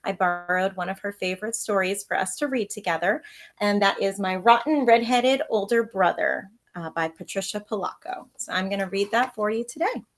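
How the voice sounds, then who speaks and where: A woman teacher reading a children's story aloud with expressive narration, recorded at home. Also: medium pitch, moderate pace.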